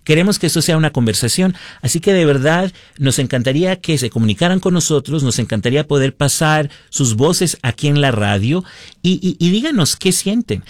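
A man's voice: on the high side, lively intonation, fairly quick, dry recording. Earnest male radio host, dry studio sound. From United States, born to Colombian parents. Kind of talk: radio commentary addressed to listeners.

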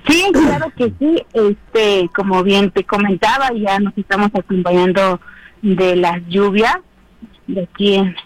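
A woman's voice: medium pitch, dry recording, poor audio. A woman from Oaxaca, Mexico, whose first language is Triqui, gives a friendly regional report on the radio with many hesitation fillers. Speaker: regional correspondent.

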